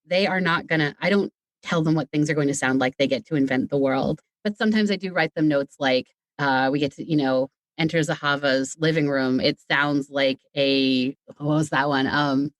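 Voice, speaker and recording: A podcast guest, a writer and director, telling stories in a chatty, animated way in a casual interview. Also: mid-range voice, normal speed, dry recording.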